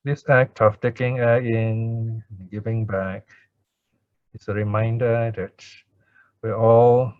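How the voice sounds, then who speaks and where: Slow, even-toned male Buddhist teacher. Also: fairly low pitch, narrow, tinny audio. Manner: measured, calm instruction with pauses. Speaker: Buddhist teacher, meditation guide. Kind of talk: Pali chanting and guided meditation.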